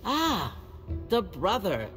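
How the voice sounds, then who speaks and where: A woman playing a genteel community leader in a video game drama, speaking with courteous, measured polish and a steely edge. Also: medium pitch, wildly swooping pitch, fairly bright tone, faint music behind, heavily acted. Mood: gracious, teasing.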